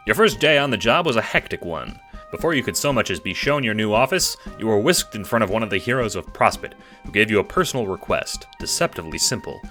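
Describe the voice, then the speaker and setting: A man narrating a scripted audio drama in a measured, dramatic storytelling manner. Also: lowish voice, very animated, pushed and bright, faint music behind.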